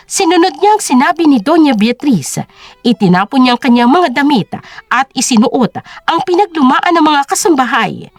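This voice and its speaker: A woman's voice: sits high, dry recording. A woman narrator reading a scripted dramatic story.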